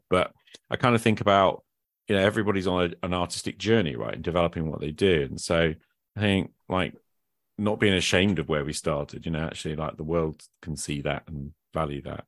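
A man with a deep voice talks casually as a podcast host. He rambles informally and thinks aloud, and the sound is dry and close, with very little room around it. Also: brisk, fairly bright tone, no echo. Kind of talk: casual conversational interview.

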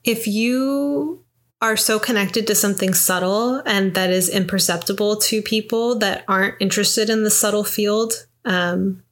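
A young woman talks in a relaxed, reflective way on a podcast. She speaks softly and searches for her words with many fillers. Her pitch sits in a middle range. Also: a little slow. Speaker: podcast co-host. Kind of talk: casual conversation about astrology.

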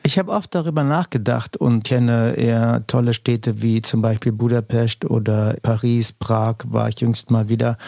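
A man's voice: mid-range voice, vocal fry, dark tone, dry recording, studio quality. A middle-aged man from Brandenburg, a musician giving thoughtful, rambling interview answers with many fillers. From Brandenburg, Germany.

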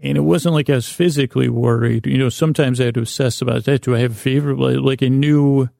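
A man hosting a bedtime podcast in a rambling, halting solo monologue, self-deprecating and whimsical, with a gravelly voice, close on the mic. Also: mid-range voice, steady tone, warm tone, dry recording. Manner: meandering, halting, repeats and loses his thread. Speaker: podcast host.

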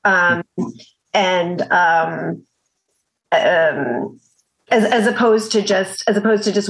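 Woman explaining steadily with pauses. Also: on the low side, poor audio. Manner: measured, with many "um" pauses. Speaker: guest educator. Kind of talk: casual conversation.

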